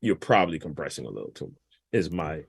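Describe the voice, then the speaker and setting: A man's voice: rumbling low, fast talker, vocal fry, slightly rough, no echo. Laid-back man explaining mixing steps. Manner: relaxed, explanatory, colloquial. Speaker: guest mix engineer. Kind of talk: casual walkthrough of a mixing session.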